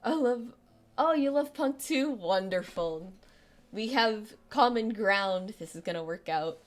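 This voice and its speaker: A woman's voice: medium pitch, expressive, a little slow. Chatty young woman with a girlish voice. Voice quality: youthful, girlish voice. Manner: rambling, chatty, self-deprecating, many restarts and fillers. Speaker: streamer. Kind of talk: casual live-stream chat.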